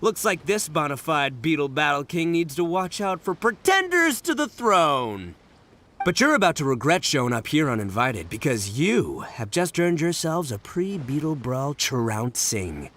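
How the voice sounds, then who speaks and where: A brash young gang boss from a video game, with a high-pitched, bright voice that swings widely. He is boastful, goofy and theatrical, exclaiming over light music. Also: sing-song, measured pace, slight background noise. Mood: defiant. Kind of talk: video game character acting.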